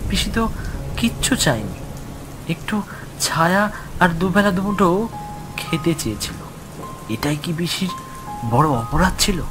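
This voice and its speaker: A troubled young village man from a horror cartoon, read by a male narrator, questioning hesitantly and with pain. His voice is raised above a narrator's middle pitch and unhurried, over music. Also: expressive, rough recording. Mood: sorrowful.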